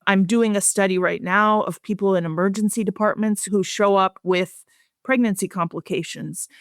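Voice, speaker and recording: A woman speaking as an expert guest in a research interview, explaining things in a measured, plain-spoken way. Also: medium pitch, fairly even intonation, no room sound, studio quality.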